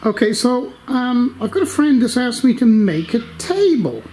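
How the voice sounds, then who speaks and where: An older man giving a casual update from his home workshop, talking slowly with lots of ums and restarts while holding the camera. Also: very high voice, big pitch swings, a little mellow, a little hiss or hum, rough recording. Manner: slow, rambling, many fillers. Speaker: workshop video maker.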